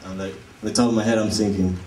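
A man's voice: lowish voice, flat delivery, quick pace. A young man from Puerto Rico with a Puerto Rican Spanish accent, a native Spanish speaker performing a playful stand-up comedy set. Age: young adult. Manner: joking, playful crowd work. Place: comedy club stage. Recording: echoey live room from a distance. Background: laughing audience.

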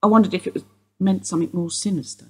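An actress playing an older woman being questioned by police, guarded and wry in a husky voice. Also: lowish voice, steady tone, fast talker. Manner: guarded, dry, wistful. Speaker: police interview suspect. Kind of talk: radio play acting.

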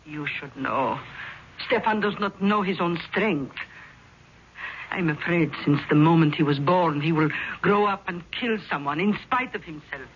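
A woman's voice: deep voice, unhurried. An actress playing a grave, superstitious immigrant mother in a 1970s radio drama, fretful and formal. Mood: worried. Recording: dull, faintly noisy 1970s radio recording.

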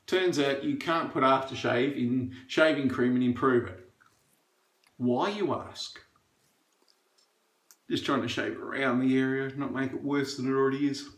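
A man's voice: mid-range voice, moderate pace, a bit bright. A middle-aged man chatting casually while he shaves, opinionated and rambling with plenty of fillers. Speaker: shaving reviewer. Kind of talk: casual chat while shaving. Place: small bathroom indoors. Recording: echoey bathroom.

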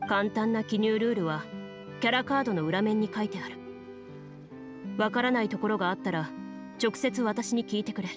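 A woman's voice: medium pitch, normal speed, long pauses, lightly acted. A serious young swordswoman played by an actress with a mid-range voice. She instructs in a formal, composed way, in anime game style, with music behind. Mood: composed, instructive. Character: composed, serious young woman duelist acting as game master. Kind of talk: Japanese anime game voice acting. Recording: studio voice with music underneath.

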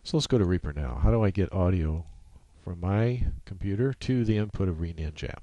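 A man with a low voice narrates a screen-capture tutorial. He explains each step off the cuff, halting as he goes, in a casual way. The sound is dry, with no room to it. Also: expressive, mellow, no echo, muffled recording. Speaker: software tutorial presenter. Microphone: USB microphone.

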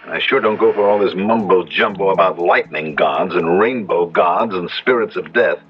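An actor playing a practical, sceptical young city-bred husband in a 1970s radio drama. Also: male voice, low voice, moderate pace, highs cut off, natural voice. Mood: suspicious. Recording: band-limited, noisy old radio recording.

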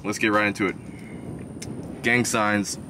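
A young adult man chatting casually to viewers in a slangy, excitable outdoor vlog style. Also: lowish voice, takes it slowly. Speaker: fishing vlogger. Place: outdoors beside a pond. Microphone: chest-mounted action camera or camera close in front. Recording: outdoor ambient noise on action camera.

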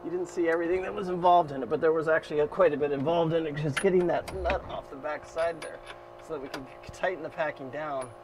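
Man, fifties, boat owner narrating his own repair, somewhat high voice, loud engine noise behind. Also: steady tone, fast talker. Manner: plain practical talk with fillers, thinking aloud while working. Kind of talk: hands-on repair walkthrough. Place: cramped boat engine room. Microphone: action camera mounted in the engine room. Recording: noisy engine room. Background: running boat engine.

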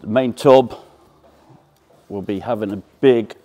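A man's voice: on the low side, slow, mellow, dull audio. A man giving a plain, matter-of-fact update on his work in a vehicle workshop. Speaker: workshop fabricator.

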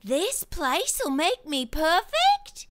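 A woman's voice: very high voice, huge pitch swings, slow pace, fairly bright tone, pitched above the performer's own voice, full character voice. A woman voicing an eager, fussy perfectionist queen in a children's storybook.

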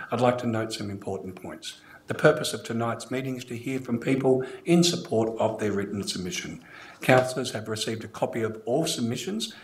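Low-voiced older Australian man, echoey room. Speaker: mayor chairing council meeting. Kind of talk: formal scripted meeting address. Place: indoor council chamber. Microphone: gooseneck desk microphone. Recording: echoey room, clear background noise.

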